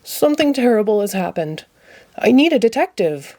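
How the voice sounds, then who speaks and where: A woman's voice: fairly high pitch, sing-song, moderate pace, dark tone. A woman voicing a naive, affectionate young boy puppet from a children's storybook in an earnest, exclaiming way. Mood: worried. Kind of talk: children's storybook read-aloud.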